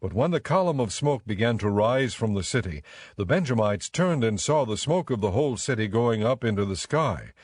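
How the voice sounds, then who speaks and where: Low-voiced man narrating scripture over music. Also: a little mellow. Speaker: Bible narrator.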